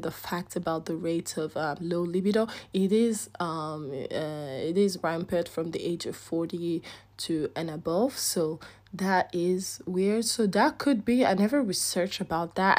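A woman with a Nigerian accent co-hosting a casual podcast, animated and laughing often as she tells stories. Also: on the low side. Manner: animated, laughs often, calls listeners y'all and guys. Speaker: podcast co-host. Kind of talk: casual chat.

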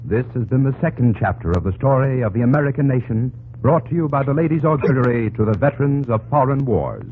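A man's voice: mid-range voice, lively intonation, fast, few pauses, background noise. A man narrating a historical radio drama in a solemn, declamatory old-time style. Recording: muffled old radio broadcast over loud music. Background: loud dramatic music score.

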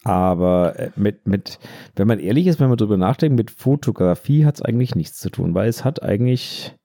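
A man co-hosts a casual podcast. His voice sits low and is very soft-edged and mellow, recorded close and dry. He explains in an unscripted way, repeating himself to make a point. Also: expressive, moderate pace, no room sound. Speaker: podcast co-host. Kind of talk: casual unscripted podcast chat about photography.

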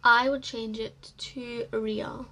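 A teenage girl from England with a fairly high, bright voice. She talks softly and hesitantly, with pauses and small laughs, co-hosting a casual chat podcast. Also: little pitch movement. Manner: hesitant, soft-spoken, laughs often. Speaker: podcast co-host.

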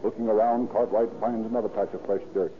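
A man narrating a scripted old-time radio crime drama in a formal, dramatic, measured manner. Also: on the low side, fairly even intonation, a little hiss or hum. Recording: tinny old radio recording.

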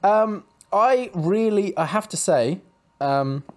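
A man's voice: sits high, lively intonation, slow. A man co-hosting a podcast, chatting casually about a book chapter, with many restarts and fillers and a dry sense of humour. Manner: hesitant, full of fillers and restarts, dry jokes. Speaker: podcast co-host.

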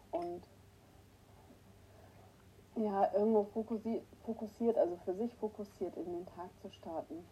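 A woman with a cold hosting a casual morning livestream, greeting her viewers by name and clearing her throat often. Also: medium pitch, warm tone, a little hiss or hum, rough recording. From northern Germany. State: has a cold, hoarse at times. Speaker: livestream host. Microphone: new microphone that is not working right.

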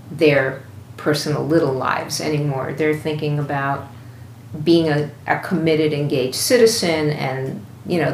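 A woman's voice: low voice, creaky, slight background noise. A woman giving thoughtful, unscripted interview answers as a guest. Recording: roomy echo, faint music.

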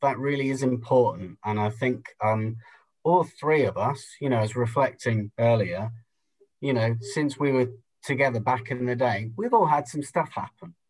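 Thoughtful man, measured and halting. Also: medium pitch, a little mellow, poor audio. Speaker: podcast guest. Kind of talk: reflective conversation.

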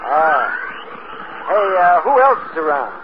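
A man's voice: a little high, big pitch swings, slow pace. An actor in a 1950s radio drama playing a carefree little spaceman who accepts death, wistful and easygoing. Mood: anxious calling. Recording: noisy, dull 1950s radio recording.

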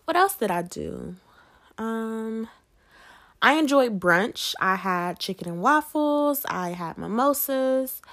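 A woman's voice: fairly high pitch, expressive, takes it slowly, a bit bright. A woman hosting a podcast on her own, talking in a casual, conversational way and putting questions to her listeners. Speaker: podcast host. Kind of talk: casual solo podcast chat.

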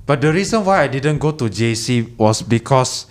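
A man's voice: mid-range voice, expressive, moderate pace. A young man from Indonesia, a podcast guest chatting casually, hesitant with many restarts. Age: young adult. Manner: hesitant, many restarts and fillers, lots of "yeah". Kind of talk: casual chat.

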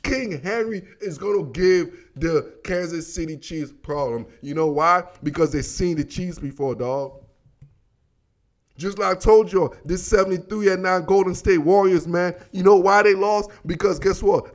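An American man giving casual, slangy football commentary in an animated, emphatic, repetitive manner, talking straight to his listeners. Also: sits high. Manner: animated, emphatic, repeats himself, addresses listeners as dog and baby. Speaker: sports commentator, host.

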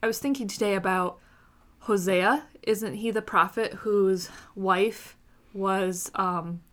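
A woman's voice: mid-range voice, slow. Earnest woman in her thirties hosting. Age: 30s. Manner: earnest, laughs often, thinks aloud with fillers. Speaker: podcast host. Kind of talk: casual faith podcast chat. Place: indoor room with sisters.

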